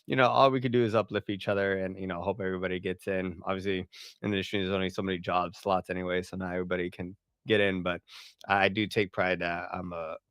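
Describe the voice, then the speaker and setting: Low-voiced Puerto Rican man, chatty. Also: level tone, quick pace, a bit bright, clean tone, no echo, studio quality. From Puerto Rico. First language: Spanish. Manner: loose and chatty, many fillers and restarts. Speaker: partnerships director. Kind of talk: casual livestream Q&A.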